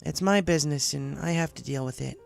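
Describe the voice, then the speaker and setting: Man as a hesitant runaway pegasus girl, pitched up. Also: fairly quick, vocal fry. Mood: guarded, blunt. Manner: hesitant, blunt. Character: young runaway pegasus mare, awkward, guarded, apologetic.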